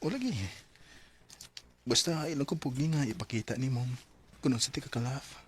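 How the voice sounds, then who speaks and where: An actor playing an earnest, lovestruck young man in a radio drama, eager and soft-hearted. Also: medium pitch, normal speed, a little hiss or hum, lightly acted. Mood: hopeful, eager. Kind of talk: radio drama acting and letter narration.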